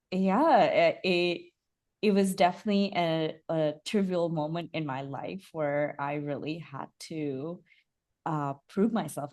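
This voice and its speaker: A young woman from Bangladesh, a holistic coach, talking about her life in a soft, reflective way with many fillers and restarts. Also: low voice. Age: young adult. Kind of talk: casual interview chat.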